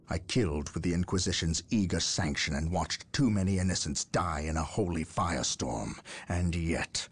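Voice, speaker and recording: A man's voice: rumbling low, breathy edge, exaggerated voice. An actor playing a grim, guilt-haunted male warrior captain who talks in an intense, anguished and confrontational way, in a video game cutscene. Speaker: military captain. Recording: voice over orchestral game score.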